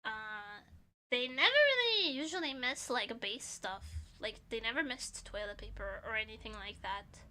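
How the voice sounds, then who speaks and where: A young woman from Portugal chats casually with her stream viewers, giggling often. Her voice sits high and sounds very bright and sharp. She swings from loud excited bursts to quiet mumbles. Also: normal speed, rough recording. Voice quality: giggly, chatty, drifts between loud bursts and soft mumbles. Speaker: vtuber streamer. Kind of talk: casual stream chat answering chat.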